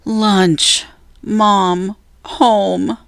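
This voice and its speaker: A woman's voice: medium pitch, flat delivery, extremely slow, creaky, dry recording, clean studio sound. A woman voicing a cautious, thoughtful young boy in a children's storybook, speaking earnestly and carefully. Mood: wistful.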